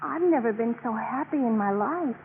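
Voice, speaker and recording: An actress playing an anxious, meek, eager young college pledge in a 1950s radio drama, earnest and apologetic. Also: female voice, on the high side, lively intonation, normal speed. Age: young adult. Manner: apologetic, earnest, breathless gushing. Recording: noisy, tinny 1950s radio broadcast recording.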